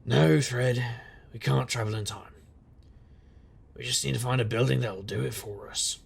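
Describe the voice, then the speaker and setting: Male actor as a sardonic noir investigator, creaky gravelly voice, conversational storytelling, audio drama, slight noise behind. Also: mid-range voice, moderate pace. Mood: casual. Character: wry hard-boiled supernatural private investigator, man. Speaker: narrator and lead character. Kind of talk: noir first-person scripted narration.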